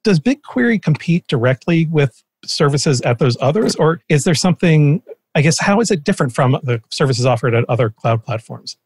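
A man's voice: fairly high pitch, animated, normal speed, husky. A man hosting an interview, asking questions in a tentative, roundabout, conversational way. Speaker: podcast host, interviewer.

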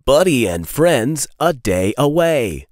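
A man in his thirties reading a children's storybook aloud with playful, expressive emphasis. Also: medium pitch, takes it slowly, no echo, clean studio sound. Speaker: read-aloud host.

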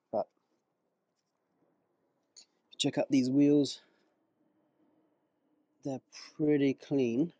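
A man in a casual vlog, talking through his car wheel cleaning outdoors in a relaxed, rambling way, with small self-corrections, his voice moving closer and farther from the camera. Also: mid-range voice, no echo. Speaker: car rental host. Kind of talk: casual vlog narration while working.

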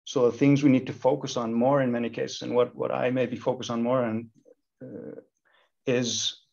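A man's voice: medium pitch, little pitch movement, moderate pace, vocal fry, a little mellow. A man in his thirties from Iceland, a university lecturer talking thoughtfully in a video-call panel, with many restarts. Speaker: university lecturer and equality committee chair. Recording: slightly dull video-call audio.

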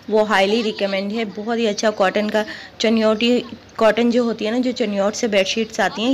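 A woman vlogging a walk through an outdoor weekly market, chatting about goods and prices and asking vendors for rates. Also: mid-range voice. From Pakistan. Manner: chatty shopping recommendations, haggling with vendors. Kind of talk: walking market tour vlog. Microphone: handheld phone camera. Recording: phone audio with crowd noise.

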